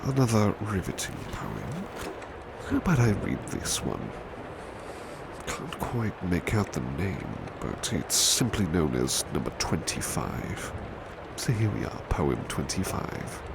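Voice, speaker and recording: A man playing a formal, competitive butler who softly reads poetry in an ASMR bedtime roleplay. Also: low voice, huge pitch swings, slow, vocal fry, background noise, exaggerated voice. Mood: dry, competitive. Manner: formal, slightly prickly, dramatic verse delivery. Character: male butler servant, formal, competitive, touchy. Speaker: servant reading poetry at bedtime. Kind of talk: soft-spoken ASMR roleplay, poetry reading.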